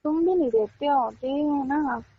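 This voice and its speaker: High-voiced woman on a tinny phone line. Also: a little slow.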